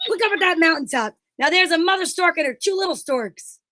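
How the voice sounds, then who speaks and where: A woman plays the straight man of an old radio comedy duo. The voice is extremely high, with a brisk, bossy and scolding manner. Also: lively intonation, noisy background. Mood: brisk, curious.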